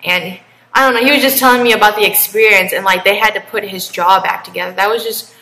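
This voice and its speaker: A young woman in her twenties chats to her camera and rambles through a personal story, full of fillers and asides. Her voice is bright and forward, and the room gives it a noticeable echo. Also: mid-range voice, steady tone, fast talker. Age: 20s. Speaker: vlogger. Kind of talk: casual talk to camera.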